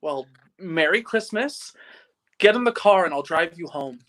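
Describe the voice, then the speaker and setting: A man's voice: a little high, lively intonation, unhurried, a bit bright, rough recording. A man playing a folksy, concerned small-town policeman in a live radio play. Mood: friendly. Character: gruff but concerned small-town policeman, old friend.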